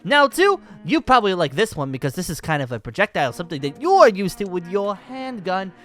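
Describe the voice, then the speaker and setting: A man's voice: high voice, sing-song, brisk, fairly bright tone, faint music behind. A man playing an exuberant, boastful dragon-slayer wizard in a Minecraft roleplay, speaking in a pompous, genteel and theatrical manner. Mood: gleeful, boastful. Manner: theatrical, flamboyant, cackling, pompous. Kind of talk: improvised Minecraft fantasy roleplay acting.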